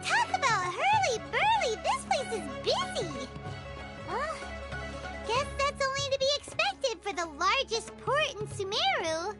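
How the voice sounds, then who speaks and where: An extremely high, squeaky fairy companion for an animated game. She is tiny, childlike and excitable, with swooping, very lively pitch. Clear music plays behind her. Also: a little slow, full character voice. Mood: excited, uneasy. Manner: exclamatory, speaks of herself in third person.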